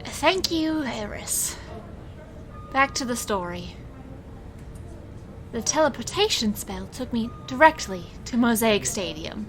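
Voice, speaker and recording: A woman's voice: fairly high pitch, expressive, takes it slowly, pauses often, crowd noise. A woman acting a resolute, guilt-ridden magician who tells her story in a scripted audio drama. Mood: measured, apologetic.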